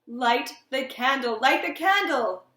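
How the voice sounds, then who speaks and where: A woman voicing a terrified, desperate man afraid of the dark in a storybook reading, pleading and urgent. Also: fairly high pitch, little pitch movement, extremely slow, far from the mic. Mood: panicked, pleading. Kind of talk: dramatic storybook character voice. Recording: roomy, echoing room sound.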